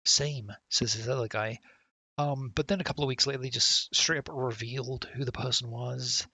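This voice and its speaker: A man's voice: mid-range voice, steady tone, moderate pace, sharp tone, no echo. A man giving casual, sweary and sardonic commentary as he plays a game and reads its text aloud. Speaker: game streamer.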